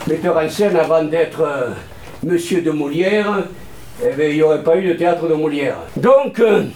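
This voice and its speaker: A man with a Southern French accent from Montpellier, talking about theatre to a group in a lively, theatrical way with booming bursts. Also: echoey room. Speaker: theatre teacher.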